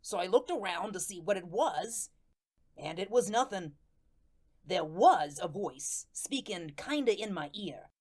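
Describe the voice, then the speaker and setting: Woman as a chatty, animated, aggrieved ghost. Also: on the low side, unhurried. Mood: aggrieved, bemused. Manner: colloquial, ungrammatical, conversational storytelling. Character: chatty, aggrieved, streetwise ghost of a murder victim. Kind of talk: first-person radio drama narration with acted dialogue.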